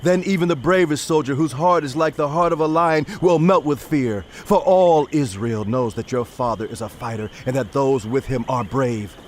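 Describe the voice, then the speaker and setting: An actor playing a shrewd, persuasive male royal adviser in a dramatized audio Bible, speaking with deliberate rhetoric. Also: mid-range voice, slight background noise, lightly acted. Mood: grave, persuasive.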